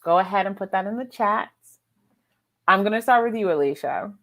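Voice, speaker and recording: A woman's voice: mid-range voice, lively intonation, a little fast, a bit bright. A woman hosting a book readalong livestream in an informal, chatty way, talking to co-hosts and viewers. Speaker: livestream host.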